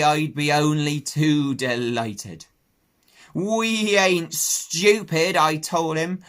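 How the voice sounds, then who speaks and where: A gruff, motherly old ewe from a storybook croaks out her words in a slow country drawl, as a man reading aloud puts her on. The voice is hoarse and pushed up high, with a blunt, rustic manner. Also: sharp tone, exaggerated voice.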